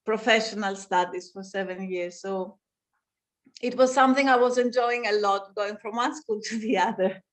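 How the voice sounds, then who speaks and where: A woman from Greece, a dancer and dance teacher, answering interview questions over a video call and often pausing to search for words. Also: medium pitch. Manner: thoughtful, searching for words, frequent restarts.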